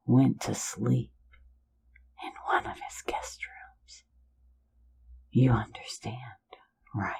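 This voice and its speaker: A woman's voice: rumbling low, creaky, darkish tone, slight background noise, full character voice. A woman voicing a sly, playful phantom thief in an ASMR roleplay, mostly whispering close to the mic in a teasing, soothing way. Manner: teasing, soothing, lulling listener to sleep.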